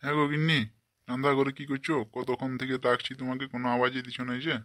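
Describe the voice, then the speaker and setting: A man voicing a short-tempered, foul-mouthed village father in a comic cartoon, gruff and scolding. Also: mid-range voice, level tone, rapid-fire, a bit bright, dry recording, studio quality, natural voice. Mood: calling, impatient.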